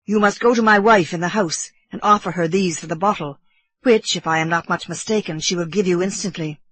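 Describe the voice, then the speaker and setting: Narrator doing an earnest island guy from a storybook, urgent and desperate, bright forceful voice. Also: medium pitch, fairly quick, natural voice, poor audio. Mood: firm, persuasive. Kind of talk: audiobook character voice.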